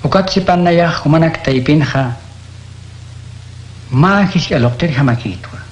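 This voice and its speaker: Un hombre interpretando a Jesús, maestro sereno. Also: male voice, fairly high pitch, measured pace, dull audio, close to an everyday voice. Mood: teaching. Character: Jesus, calm adult male teacher. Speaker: voice actor. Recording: echoey room.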